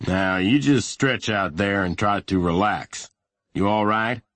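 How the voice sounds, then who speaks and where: A man voicing a big, folksy policeman in a storybook, steady and reassuring in his manner. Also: on the low side, normal speed, no echo. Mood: calm.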